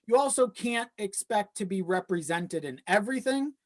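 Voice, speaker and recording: A man's voice: sits high, lively intonation, moderate pace. A man speaking as a podcast guest in casual, reflective chat, thinking aloud as he goes. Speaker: podcast guest, audio drama creator.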